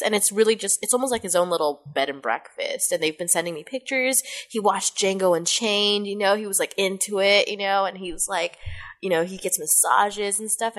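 Podcast host, woman, youthful kid-like voice but pitched a bit low, first language Mandarin, rambly and chatty. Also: a bit bright. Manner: chatty, rambling, laughs often. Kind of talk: casual podcast chat.

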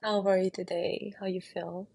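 A Filipino woman hosting her own podcast from her bed, with a Filipino accent, speaking softly and soothingly and guiding the listener through a gentle check-in. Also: medium pitch, flat delivery, gravelly, slightly rough. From Philippines. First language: Tagalog. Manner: soft and soothing, slow, with frequent small laughs. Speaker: podcast host. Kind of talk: gentle guided reflection and casual chat. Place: bedroom, sitting on the bed.

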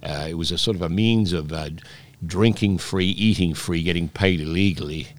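A man in his sixties, raised in Peru, telling rambling stories with many restarts as a guest in a relaxed interview. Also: sits low, normal speed. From Scotland, raised in Peru. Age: 60s. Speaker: guest, oyster bar operator.

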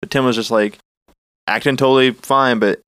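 A man's voice: medium pitch, steady tone, moderate pace, a bit bright, clear, resonant tone, no echo, studio quality. A young man, co-hosting a podcast in teasing, filler-heavy casual chat. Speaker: podcast co-host.

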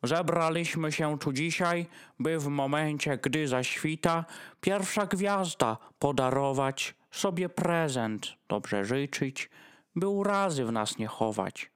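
A man's voice: fairly high pitch, takes it slowly, smooth. Guy doing a fussy long-winded dog host, rhyming radio play, takes his time, very formal. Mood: ceremonious. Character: Freddy, doting, long-winded male dog hosting Christmas dinner. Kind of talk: rhymed verse in an amateur radio play.

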